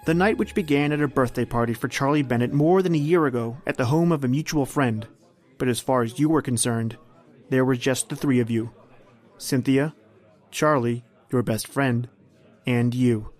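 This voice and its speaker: A man playing an ominous narrator of an old-time radio suspense drama, speaking deliberately and insinuatingly as if straight to the hero. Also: mid-range voice, natural voice. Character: ominous male narrator addressing the hero as you. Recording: music under the voice. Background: music.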